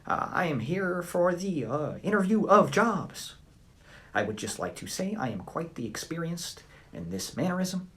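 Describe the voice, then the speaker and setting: A man mockingly voicing a stiff, pompous male job applicant, halting and overly formal. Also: a little high, very animated, a bit bright, pitched above the performer's own voice. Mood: mock-formal.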